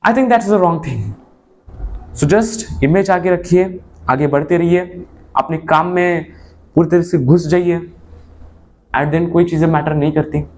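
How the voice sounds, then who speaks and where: A young man speaking an earnest motivational monologue to his viewers, mixing in English phrases and swinging between emphatic and low moments. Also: slow, long pauses, a little mellow, slight background noise. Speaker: motivational vlogger.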